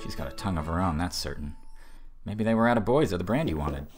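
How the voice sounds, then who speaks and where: A man playing a brisk, wry, offhand small-town station master in an audiobook. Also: low voice, lively intonation, over music. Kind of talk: audiobook character acting.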